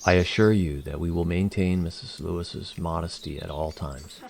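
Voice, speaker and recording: A courteous alien leader played by a man speaks in a very low voice with diplomatic polish. His phrasing is formal, measured and persuasive, in audio drama style, over light background noise. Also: fairly even intonation, vocal fry, a little mellow. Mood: polite, reassuring.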